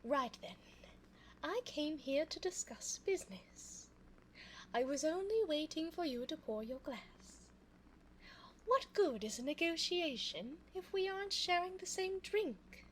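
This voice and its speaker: A woman playing an elegant, cunning vampire in an ASMR audio roleplay, speaking formally and coaxingly in a soft voice close to the microphone. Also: high-pitched, slight background noise, low-quality recording. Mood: silky, hospitable. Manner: formal, genteel, slow, coaxing. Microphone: close binaural microphone.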